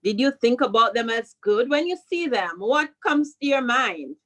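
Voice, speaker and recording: A woman's voice: a little high, lively intonation, moderate pace, a bit bright. A woman, a school principal leading a question-and-answer chat with children, prompting them and repeating questions and answers back.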